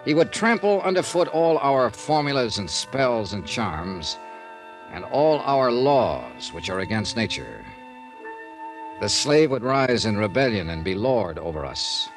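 A cool, probing male insurance investigator in an old American radio drama. His questions are measured and clipped, yet his pitch moves expressively. It is a 1950s radio recording with some music. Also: sing-song. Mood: solemn reading. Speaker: star of a radio detective drama.

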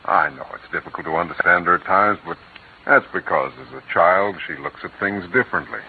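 A man playing a gentle, soothing father in a vintage radio drama. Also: low voice, a little fast. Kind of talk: 1940s radio acting. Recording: tinny, hissy old radio broadcast.

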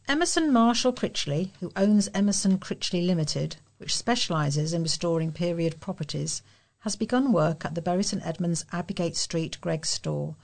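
A woman's voice: lowish voice, darkish tone. A woman reading local news stories aloud as a volunteer newsreader.

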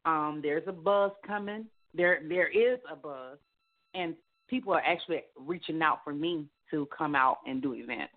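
A woman guest on a radio show talks about her music in an upbeat, chatty way, often repeating herself and filling gaps with "you know." Her voice sits fairly low for a woman. It comes through a thin phone line. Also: normal speed. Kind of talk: casual radio interview.

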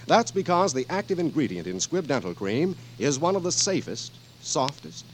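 Lively 1940s radio announcer man. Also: medium pitch. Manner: polished, emphatic. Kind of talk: 1940s radio announcing, scripted sponsor read. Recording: noisy vintage radio broadcast.

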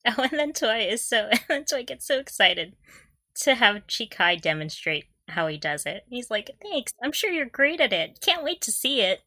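A woman's voice: on the high side, big pitch swings, normal speed, bright, forceful tone, no echo, studio quality. A woman co-hosting a casual fan podcast, recapping with gushing enthusiasm and frequent giggles. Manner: giggly, often breaking into laughter. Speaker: podcast co-host.

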